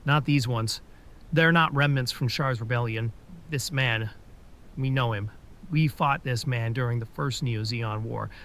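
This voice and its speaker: Man plays earnest officer, measured, pleading, mid-pitched. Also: fairly bright tone. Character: earnest male military officer, persuasive. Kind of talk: fan audio drama acting.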